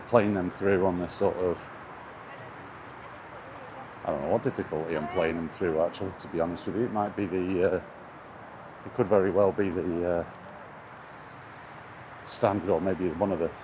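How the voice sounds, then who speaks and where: A man giving a casual, rambling vlog chat in a hesitant, laid-back way, recorded on a handheld camera outdoors. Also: sits low. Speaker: gaming YouTuber. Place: outdoors, walking near traffic. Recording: noisy outdoor recording with urban traffic. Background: outdoor urban and vehicle noise.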